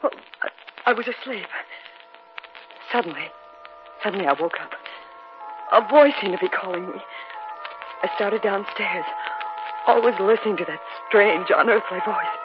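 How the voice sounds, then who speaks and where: An actress playing a frightened, near-hysterical wife in a 1950s radio drama, with halting, repetitive phrasing. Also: female voice, medium pitch, music in the background, natural voice. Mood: shaken. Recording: dull 1950s radio recording.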